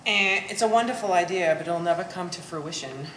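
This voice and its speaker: Heard from a little way off in a reverberant hall, a woman acts a resentful grown daughter on stage. Her voice is slightly low, with a wordy, sardonic bite. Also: brisk, fairly bright tone, close to an everyday voice. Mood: sardonic, pedantic. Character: bitter, sardonic, bookish adult daughter. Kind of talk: live stage play acting. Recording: echoey hall, distant mic, faint noise.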